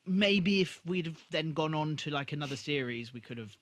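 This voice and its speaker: A man's voice: a little high, animated, moderate pace, a bit bright. A man co-hosting a podcast, chatting casually about an episode in an agreeable, conversational way. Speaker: podcast co-host. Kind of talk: casual episode review chat.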